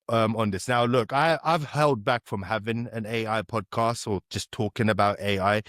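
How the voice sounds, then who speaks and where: Man hosting a podcast, halting and chatty. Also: fairly low pitch, no echo, clean studio sound. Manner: friendly, halting, with many "um"s and restarts. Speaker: podcast host. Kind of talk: casual podcast interview.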